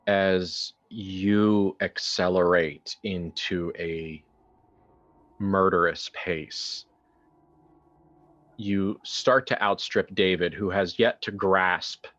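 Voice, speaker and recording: A man narrating a horror tabletop game as game master in a deliberate, dramatic, halting way, with improvised phrasing. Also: sits low, fairly even intonation, fairly bright tone, highs cut off.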